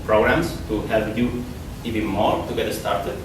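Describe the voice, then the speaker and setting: A man's voice: fairly low pitch, fairly even intonation, unhurried. A man in his thirties with a Spanish accent, giving a casual conference talk, often repeating and restarting his words. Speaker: conference speaker. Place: lecture hall. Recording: echoey hall from far off, noisy.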